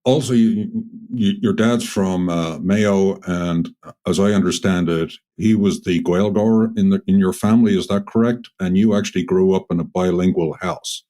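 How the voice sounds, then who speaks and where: A man hosting a podcast who asks measured questions and checks facts with his guest. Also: low-pitched, warm tone. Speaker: podcast host. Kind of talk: interview questions.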